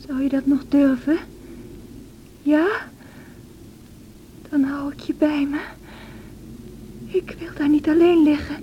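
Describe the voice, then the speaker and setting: An actress playing a feverish, wild and distraught young married woman in a radio drama, with a breathy, anguished delivery. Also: sits high, dull audio. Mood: delirious, yearning. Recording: noisy old radio play recording.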